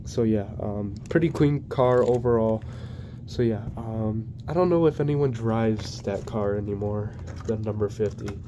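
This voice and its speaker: A man's voice: on the low side, measured pace. A young man with a cold, chatting casually and hesitantly while unboxing model cars on camera at home. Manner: hesitant, many ums and restarts; flat, even delivery. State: congested from a cold. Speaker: diecast collector reviewing model cars. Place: indoors at home, plain wall. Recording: noisy home recording.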